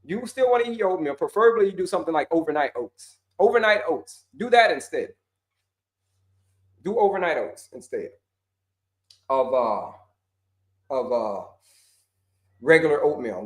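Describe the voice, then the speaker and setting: A man's voice: fairly high pitch, sing-song, moderate pace, pauses often. A man coaching on diabetes, answering viewer questions in a casual, emphatic way, repeating points and dropping slang. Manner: repetitive, emphatic, slangy, addresses viewers as folks.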